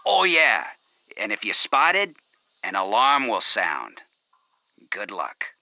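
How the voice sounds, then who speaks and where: An actor voicing a brusque toy informant giving a secretive briefing in an animated video game. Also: male voice, on the low side, slow, creaky, a little hiss or hum, exaggerated voice, low-quality recording. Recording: game sound effects and insect ambience behind.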